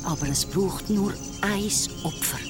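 A woman's voice: medium pitch, measured pace, over music, lightly acted. An actress playing a dead grandmother's apparition in a radio drama, tender yet eerily insinuating and coaxing. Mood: coaxing, accusing.

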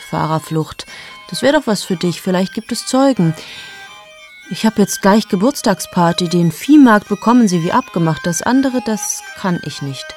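A woman's voice: fairly low pitch, darkish tone. An actress in her late thirties playing a cold, amoral journalist in a radio play monologue, speaking intimately to the listener and often dropping into whispers. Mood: confiding. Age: late 30s. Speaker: radio drama lead.

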